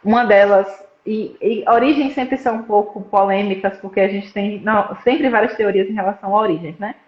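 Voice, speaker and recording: Young woman from petrolina on a video call, explains things clearly, says né a lot, echoey dull sound. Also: mid-range voice, brisk. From Petrolina, Pernambuco, Brazil. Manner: articulate, explanatory, with frequent "né" tags. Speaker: psychology student and suicide prevention project founder. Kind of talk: casual live-stream interview. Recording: roomy, dull lo-fi live stream audio.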